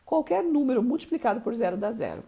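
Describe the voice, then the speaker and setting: A woman's voice: on the high side, sing-song, fast, creaky, mellow, breathy edge. A woman from Mato Grosso do Sul with a Curitiba accent, coaching listeners on a live stream and often checking in with them. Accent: Curitiba Portuguese. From Mato Grosso do Sul, Brazil. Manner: emphatic, keeps checking in with listeners. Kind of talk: motivational live-stream teaching.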